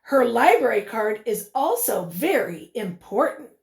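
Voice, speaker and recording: A woman teacher reading a picture book aloud to young children, expressively, pausing to put questions to them. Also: on the high side, very animated, gravelly.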